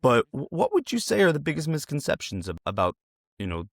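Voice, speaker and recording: A man's voice: on the high side, wildly swooping pitch, a little fast, creaky, no echo, studio quality. A man hosting a casual interview, earnest and rambling, often repeating his phrases as he builds a question. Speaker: interviewer, host.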